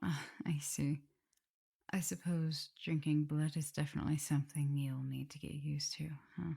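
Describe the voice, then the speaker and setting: A woman playing an affectionate, teasing vampire mistress with a prim teacherly side, in a soft, intimate, often whispered ASMR roleplay. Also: low-pitched, little pitch movement, normal speed, gravelly, breathy edge, heavily acted. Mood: caring, coaxing. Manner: tender, playful, hesitant murmuring.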